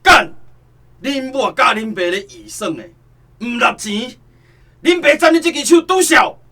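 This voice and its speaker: Brutal high-pitched Taiwanese gangster, sharp-edged. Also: male voice, animated, unhurried, heavily acted. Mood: furious, threatening. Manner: aggressive, swearing, menacing. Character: brutal, foul-mouthed male kidnapper, a gangster. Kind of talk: radio drama acting.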